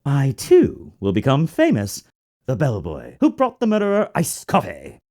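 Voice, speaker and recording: An actor voicing a self-important, morbidly eager hotel bellboy from a video game, with an obsequious, melodramatic manner. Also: male voice, mid-range voice, huge pitch swings, unhurried, a little mellow. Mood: proud. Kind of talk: dramatized video game dialogue.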